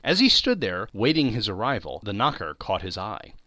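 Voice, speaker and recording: A man's voice: fairly low pitch, sing-song, moderate pace, pushed and bright, no echo. A man narrating a classic tale in a theatrical, dramatic audiobook style. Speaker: podcast narrator.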